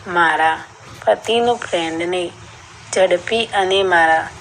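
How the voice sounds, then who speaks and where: A woman's voice: lowish voice, slow pace, fairly bright tone, slight background noise, low-quality recording. A woman reading a scripted first-person story as a narrator.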